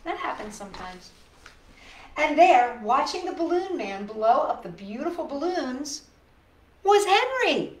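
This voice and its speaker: A woman's voice: sits high, sing-song, a little slow. A woman reading a picture book aloud as a storytime host, expressively, with sympathetic, coaxing asides to young viewers. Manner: sympathetic, coaxing, talks directly to children. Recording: echoey room, faint background noise.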